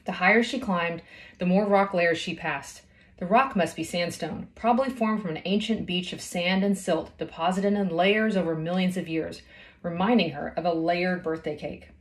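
Lower-pitched woman reading a story aloud. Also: moderate pace. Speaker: storybook reader. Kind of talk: read-aloud of a children's novel. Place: small indoor room at home.

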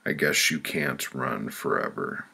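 A man reading his own writing as audiobook narration, in short phrases with deliberate pauses. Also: background noise. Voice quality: very deep, gravelly, husky. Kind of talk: scripted audiobook narration of poetic memoir.

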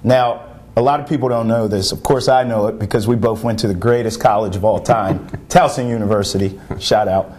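A man's voice: on the low side, steady tone, brisk, a little mellow. A man in his thirties hosting a local sports show in a TV studio, upbeat and playful, on a lapel mic. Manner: upbeat, playful broadcast delivery. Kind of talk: studio interview.

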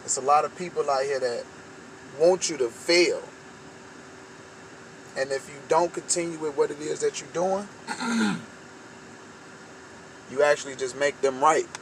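A man's voice: on the high side, measured pace, pauses often. A young American man giving an informal motivational monologue to camera, with emphatic, repetitive phrasing. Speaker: vlogger giving motivational talk. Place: inside a parked car at night. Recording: clearly noisy vehicle ambience.

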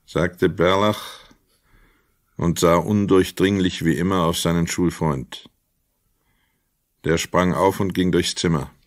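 Male narrator for crime radio play: low voice, close dry sound, literary storytelling. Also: steady tone, slow, pauses often, no room sound.

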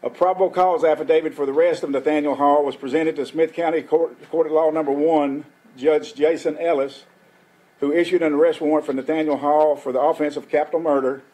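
An older man, a law enforcement official reading a formal statement in measured, official wording. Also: fairly high pitch, steady tone, warm tone, rough recording. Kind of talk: formal press statement read aloud. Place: indoor press briefing room.

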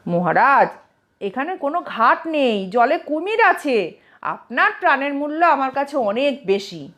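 A woman's voice: high-pitched, very animated, unhurried, a bit bright, heavily acted. A woman voicing a sly, cheeky male court jester in a comic audio storybook, teasing with mock earnestness. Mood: mock concern.

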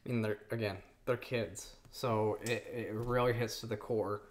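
A woman's voice: very deep voice, steady tone, moderate pace, background noise. A woman in her thirties hosting a cooking vlog, talking to camera in an earnest, rambling way from her home kitchen. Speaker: cooking show host. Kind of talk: casual to-camera vlog talk. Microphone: camera on the counter at mid distance.

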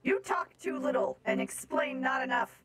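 A woman's voice: high-pitched, normal speed, a bit bright, a little hiss or hum, rough recording. A woman voicing a curious, commanding female space robot with stilted, imperious phrasing in an improvised online game roleplay.

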